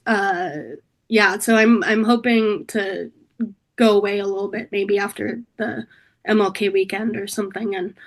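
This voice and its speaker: A middle-aged woman from California, slightly hoarse from a cold, talking reflectively in a casual interview, with many pauses and restarts. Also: mid-range voice. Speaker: interview guest, political staffer.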